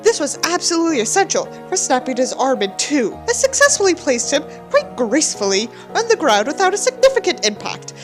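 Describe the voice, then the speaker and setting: A woman's voice: sits high, very animated, brisk, few pauses, faint music behind. A woman giving a mock-dramatic reading of a book with theatrical, sarcastic delivery and candid asides. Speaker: host reading a book aloud with commentary.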